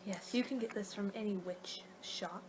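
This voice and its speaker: A woman speaks softly in an ASMR roleplay, often dipping into a whisper. Her pitch is middling for a woman, and she rambles playfully from one thought to the next. Clear background noise sits under the voice. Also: normal speed.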